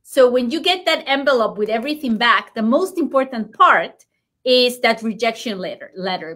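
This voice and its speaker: A woman with a Spanish accent, a native Spanish speaker, answers interview questions as an immigration lawyer. She is emphatic and reassuring and hammers home key points in a somewhat high voice. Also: pushed and bright. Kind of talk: explaining legal news in an interview.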